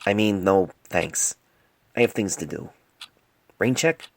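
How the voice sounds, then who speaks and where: A man's voice: on the low side, slow, gravelly, no echo. A man voicing an earnest, hesitant young male pony doctor in an audio storybook, recorded on a phone. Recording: noisy amateur cell phone mic recording.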